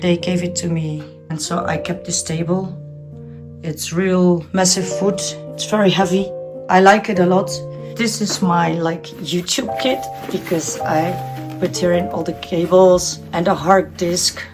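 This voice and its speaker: Woman, 40s, Dutch accent, lowish voice, shy, earnest, home studio, guitar music behind. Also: fairly even intonation, measured pace. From Netherlands. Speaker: vlogger. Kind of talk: casual studio tour chat.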